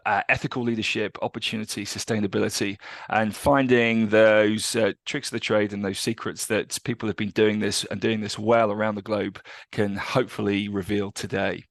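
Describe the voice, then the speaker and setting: A man hosting a casual podcast interview, chatty and halting with many restarts and fillers. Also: lowish voice, dry recording. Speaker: podcast host.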